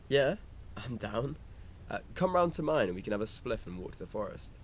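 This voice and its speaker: A man's voice: big pitch swings, moderate pace, natural voice. A young man playing a carefree, fun-loving teenage lad who talks in a casual, matey way in an amateur audio drama. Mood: friendly. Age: young adult. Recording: noisy amateur recording, environmental rumble.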